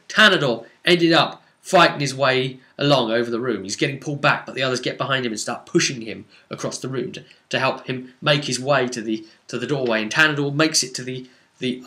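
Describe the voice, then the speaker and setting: A man recapping a tabletop game session as game master, telling it like an animated story with dramatic emphasis and drawn-out words. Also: medium pitch.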